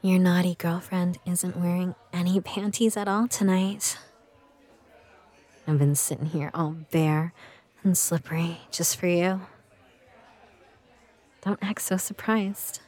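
Woman acting as playful adult girlfriend, intimate audio roleplay, unhurried, breathy, soft mellow tone, teasing. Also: fairly low pitch, steady tone. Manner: breathy, slow, teasing, often dropping into whispers.

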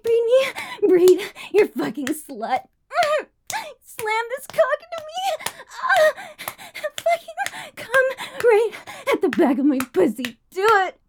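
A woman playing a very high-voiced, breathless bratty streamer. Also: a little mellow, slight background noise. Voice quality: breathy, moaning, tearful. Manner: panting and moaning between short phrases. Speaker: adult audio roleplay performer. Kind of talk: explicit audio roleplay.

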